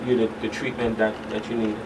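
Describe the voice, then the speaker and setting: A man explaining things in a measured way as the expert guest on a radio talk show. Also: fairly low pitch, level tone. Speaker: guest expert, professional counselor. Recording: distant mic, clearly noisy background.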